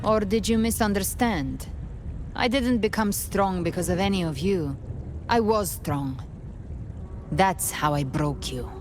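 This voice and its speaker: A woman's voice: mid-range voice, unhurried, faint music behind, lightly acted. Woman as a steely, defiant warrior woman. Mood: cool, defiant. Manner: cool, confident, curt. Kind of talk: dramatic video game voice acting.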